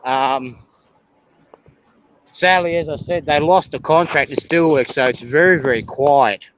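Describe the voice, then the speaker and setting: A man talks outdoors in a relaxed, chatty way, pointing out old railway buildings as he walks. His voice sits a little high. The stream audio is slightly dull, with a faint hiss behind it. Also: a little slow. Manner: relaxed and chatty, explaining local rail history on a walk. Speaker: live stream host. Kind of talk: casual walking tour chat. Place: outdoors near a rail yard.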